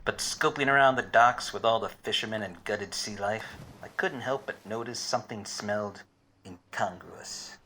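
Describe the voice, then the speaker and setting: A man playing a wisecracking, obtuse private detective in a deadpan 1940s radio noir parody. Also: on the low side, normal speed, slight background noise, low-quality recording. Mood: wry, deadpan. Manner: deadpan, hardboiled.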